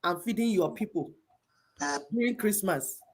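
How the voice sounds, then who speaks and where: A woman's voice: mid-range voice, measured pace, darkish tone, slightly rough. A middle-aged Igbo woman with a Nigerian accent, giving impassioned commentary in an emphatic, repetitive, preaching cadence. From Igbo people of Nigeria.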